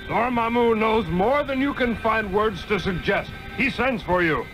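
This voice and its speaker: A man's voice: high-pitched, unhurried, over music, low-quality recording. A man playing a demon lord's harsh palace guard in a 1960s radio drama, barking threats and orders. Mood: threatening.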